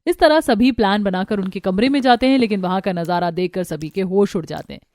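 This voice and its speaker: A woman's voice: mid-range voice, fairly quick, a little mellow. A woman reading scripted narration for a family cartoon story.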